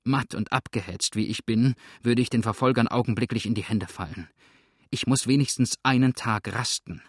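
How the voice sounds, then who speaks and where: A man's voice: medium pitch, moderate pace, slightly rough, no echo, natural voice. Man playing a grim, hunted outlaw, dry sound. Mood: bitter, weary. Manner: grim, cursing, threatening, sneering. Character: hunted, ruthless male fugitive outlaw, exhausted and menacing. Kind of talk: audiobook character acting.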